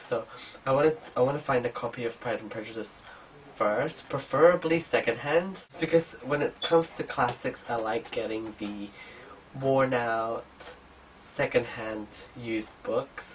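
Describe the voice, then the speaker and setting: A man's voice: mid-range voice, a little hiss or hum. A man with a cold and a blocked, nasal voice, chatting casually through a book haul vlog. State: sick, blocked nose. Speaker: book vlogger. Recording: distant dull camera mic.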